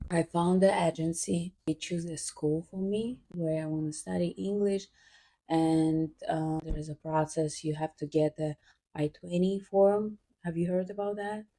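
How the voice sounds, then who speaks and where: A young woman from Kazakhstan, a podcast guest telling her story in a halting way with fillers. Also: on the low side, steady tone, dark tone. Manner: halting, with fillers and frequent laughs. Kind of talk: casual interview answers.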